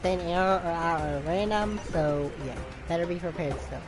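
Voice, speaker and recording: Lowish-voiced woman game streamer. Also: normal speed. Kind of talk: casual reaction commentary over gameplay. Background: game music.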